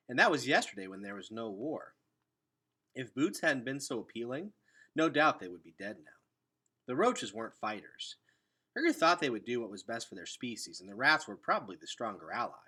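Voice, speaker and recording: Male read-aloud narrator for children's fiction: bright, animated, slightly raspy, expressive. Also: mid-range voice.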